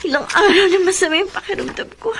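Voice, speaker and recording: A woman playing a gentle, meek young pregnant wife in a Filipino radio drama, polite and pleading. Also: very high-pitched, quick pace. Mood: unwell.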